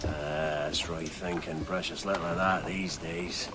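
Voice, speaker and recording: An actor playing a theatrical, grandiloquent pirate captain in a video game, with a breathy growl and a weary, menacing temper. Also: male voice, sits low, steady tone, unhurried. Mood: mocking. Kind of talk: video game cutscene acting.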